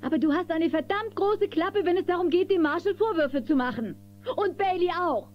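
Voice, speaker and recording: A scornful, outspoken frontier townswoman talks in a very high voice. She is fiery and forceful, in radio play style, on a dull cassette recording. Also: moderate pace. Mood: mocking. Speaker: actor in audio drama. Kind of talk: German children's radio play acting. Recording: dull, noisy old cassette.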